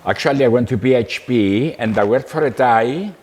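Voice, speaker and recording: An older man from Cyprus, recalling his life in an interview in a halting, repetitive way. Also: mid-range voice, darkish tone. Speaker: interview guest. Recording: reverberant room with background noise.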